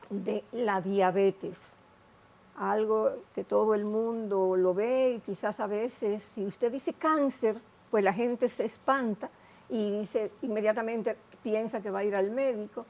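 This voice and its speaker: A woman hosting a TV health interview show, speaking in a measured, explanatory way with many asides. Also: medium pitch, mellow, low-quality recording.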